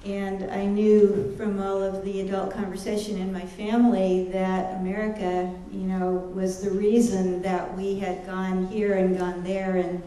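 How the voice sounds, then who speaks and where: A woman in her seventies whose first language is German, speaking in a halting, reflective way with many pauses and fillers. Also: medium pitch, flat delivery, dark tone. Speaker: panelist, child refugee. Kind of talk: personal testimony at a lecture. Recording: very echoey lecture hall.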